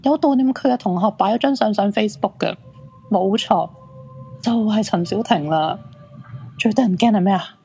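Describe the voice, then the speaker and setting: An actress with a Hong Kong Cantonese accent playing an excitable, insistent crystal fortune-teller in a radio drama. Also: female voice, mid-range voice, moderate pace, faint music behind, lightly acted. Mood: conspiratorial.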